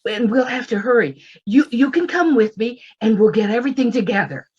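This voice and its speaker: A woman's voice: on the high side, animated, brisk, a little mellow, slightly rough, dull audio. An actress playing a prim, emotional frontier woman being courted in a radio western, exclaiming breathlessly and speaking with formal politeness. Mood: excited, fond. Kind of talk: old-time radio western acting.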